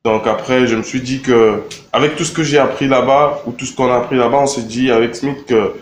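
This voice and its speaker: A young man from Congo answering interview questions in a relaxed, halting way, with many fillers and repeated words. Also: medium pitch, a little hiss or hum. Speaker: rapper interviewed. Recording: echoey room with faint ambience.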